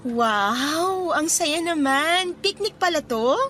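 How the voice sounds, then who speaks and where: High-voiced cheerful young Filipino woman. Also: expressive, slow, a bit bright, faint music behind, heavily acted. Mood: amused, warm. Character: cheerful young woman gently turning down two suitors. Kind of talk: Filipino radio drama acting.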